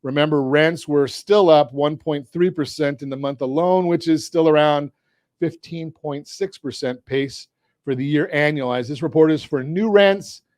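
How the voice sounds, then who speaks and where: A man's voice: a little high, moderate pace, darkish tone, dry recording, studio quality. A man hosting a finance talk radio show, speaking with energy and cracking jokes as he asks his guests leading questions. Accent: Southern Californian. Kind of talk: talk radio with a sponsor read. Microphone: studio mic.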